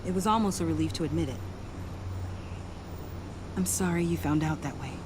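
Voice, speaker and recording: A woman's voice: low-pitched, normal speed, noisy background, close to an everyday voice. A woman playing a young, guilt-ridden yet defiant former ally in a video game, earnest, confiding and emotional. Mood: confessing. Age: young adult. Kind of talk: video game dramatic acting.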